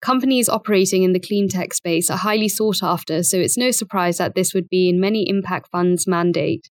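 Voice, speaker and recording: A woman's voice: lowish voice, fairly quick, no room sound. A woman journalist giving prepared podcast commentary in formal, somewhat scripted phrasing. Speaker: financial journalist, podcast co-presenter.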